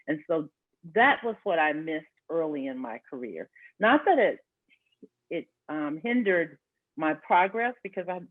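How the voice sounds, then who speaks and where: A woman journalist speaking as a panel guest, reflecting in a measured, earnest way and pausing to search for words. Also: sits low, no echo. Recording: tinny phone-like line, dry.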